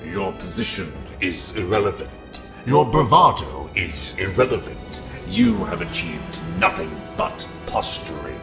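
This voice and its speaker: A man playing a cold, commanding dark entity in a fan drama, speaking formally with gravelly, imperious detachment. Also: huge pitch swings, unhurried, few pauses, full character voice. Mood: cold, dismissive. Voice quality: deep, gravelly. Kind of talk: villain monologue in fan-made drama. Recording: echoing voice over music.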